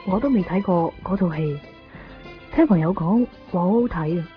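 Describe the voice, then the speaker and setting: A woman's voice: lowish voice, moderate pace, natural voice. Female actor for sincere young woman, Hong Kong Cantonese, 1990s radio drama, slightly dull sound with faint music. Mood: anxious, hopeful. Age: young adult. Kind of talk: naturalistic 1990s radio drama dialogue and inner monologue.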